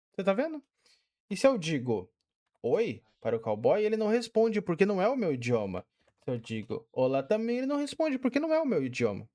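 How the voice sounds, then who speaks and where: Lively Brazilian man explaining a game tool. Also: high-pitched. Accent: Brazilian Portuguese. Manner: lively, explains step by step with many fillers. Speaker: game tutorial presenter. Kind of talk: casual screen-recorded tutorial.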